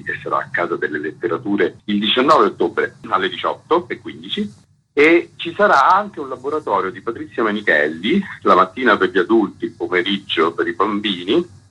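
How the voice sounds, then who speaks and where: A man's voice: deep voice, bright, forceful tone, slightly rough, poor audio. A man speaking as an interview guest, explaining an arts programme as its curator. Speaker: guest, festival curator and theatre director.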